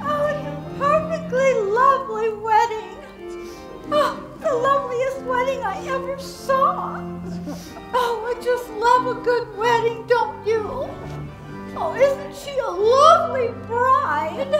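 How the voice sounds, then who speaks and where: A gushing wedding guest, sentimental and effusive. Her very high voice drifts slowly. Echoey old film soundtrack with loud music.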